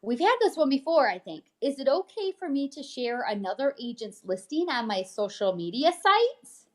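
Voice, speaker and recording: A woman's voice: high-pitched, sing-song, normal speed, a little mellow. A woman co-hosting, reading news items and chatting about them in an upbeat, informal way. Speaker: co-host, realtor reading a newsletter. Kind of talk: casual chat while reading news items.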